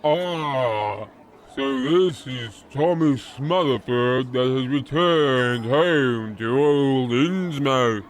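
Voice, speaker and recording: Very slow, pompous monster lord. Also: male voice, medium pitch. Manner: theatrical, drawn-out, British slang. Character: pompous, easily wounded male lord of monstrous chimney sweeps. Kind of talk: old-time radio horror comedy acting.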